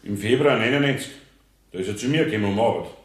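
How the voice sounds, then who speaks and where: Man playing Bavarian craftsman witness, lowish voice, plain and respectful, dryly resigned, roomy radio-drama sound. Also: fast, lightly acted. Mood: earnest, resigned. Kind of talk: German radio play courtroom acting.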